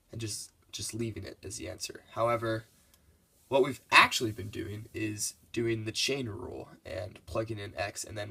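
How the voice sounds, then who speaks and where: A man's voice: fairly low pitch, gravelly, pushed and bright, husky. A congested young man giving a casual, laid-back math lesson over his worked problems. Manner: laid-back, loose and casual, with many fillers.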